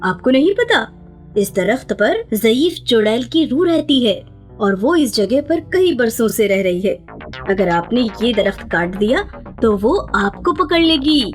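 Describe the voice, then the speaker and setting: Woman acting young village boy, high pitch, lively intonation, earnest, clever, eager, children's cartoon. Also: soft background music. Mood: sly warning.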